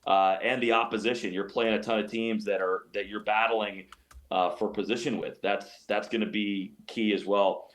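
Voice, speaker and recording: A man's voice: on the low side, steady tone, normal speed. A man giving sports analysis as an interview guest, explaining his points emphatically and often repeating phrases. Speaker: radio play-by-play announcer as guest.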